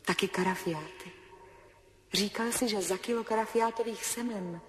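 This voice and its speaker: A woman's voice: mid-range voice, noisy background, lightly acted. An actress playing a wistful young woman in love, speaking intimately and insistently to her man in a 1970s radio drama. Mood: wistful, dreamy. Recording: music under the voice.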